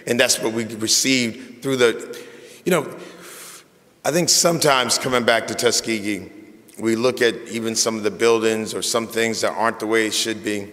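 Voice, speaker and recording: Raspy man rousing a hall crowd. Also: on the low side, bright, forceful tone. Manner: rousing, call-and-response energy. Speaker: convocation speaker. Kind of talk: speech to an audience.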